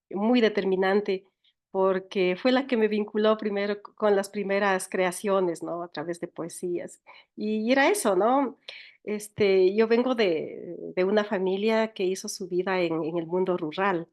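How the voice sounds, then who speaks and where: A woman's voice: mid-range voice, moderate pace, darkish tone. Ecuadorian woman, reflective interview guest. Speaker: writer and teacher, interview guest. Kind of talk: conversational, reflective storytelling.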